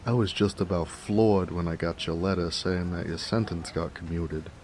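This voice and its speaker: An actor playing a jovial, affectionate big 1920s mobster with an American accent, in a folksy, teasing noir audio drama. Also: male voice, sits low, steady tone, normal speed, darkish tone, a little hiss or hum, lightly acted. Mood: warm, teasing. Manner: folksy, teasing, chatty, chuckling. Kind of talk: noir romance audio roleplay, 1920s gangster slang. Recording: faint rain ambience behind voice. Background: rain.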